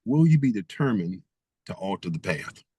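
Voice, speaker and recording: A man's voice: low voice, sing-song, moderate pace, dark tone, breathy edge, no echo. A man guest author in an interview, speaking emphatically and stacking rhetorical questions. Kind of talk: interview conversation.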